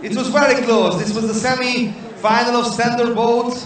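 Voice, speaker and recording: A man making public address announcements at an outdoor sports event in short, halting phrases. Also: very high-pitched, steady tone. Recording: echoing distant loudspeakers with crowd noise.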